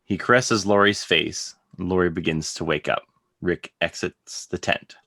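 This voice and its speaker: A man's voice: low voice, steady tone, normal speed, creaky, pushed and bright, no room sound, clean studio sound. A man narrating scripted stage directions for a drama reading.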